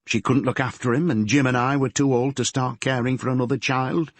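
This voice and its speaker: A tearful, polite Yorkshire mother in middle age, as a male narrator puts her on, raised well above his usual pitch. Her voice is soft at the edges and a little husky, with a gentle, fretful and homely way of speaking. The reading is dry and close. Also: steady tone, brisk, no echo, close to an everyday voice. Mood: sorrowful. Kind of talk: audiobook character voice.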